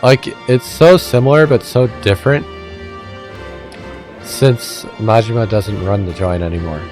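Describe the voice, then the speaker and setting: A man's voice: on the low side, unhurried, darkish tone, faint music behind. A man from the American Midwest giving casual, rambling gameplay commentary, self-deprecating and swearing offhandedly. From Midwestern United States. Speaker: let's play gamer.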